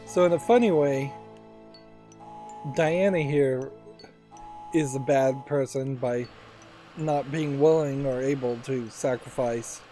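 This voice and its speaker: A man's voice: medium pitch, takes it slowly, long pauses, warm tone. A man giving casual let's play commentary on a video game in a musing, analytical way. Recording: faint game music behind.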